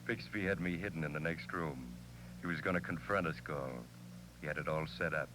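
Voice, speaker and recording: An actor playing a cold crook and blackmailer, tough and clipped, in 1940s radio crime drama style. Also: male voice, extremely low pitch, fairly quick, bright, forceful tone. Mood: coolly threatening. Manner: tough, clipped gangster talk. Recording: faintly noisy vintage radio recording, hum.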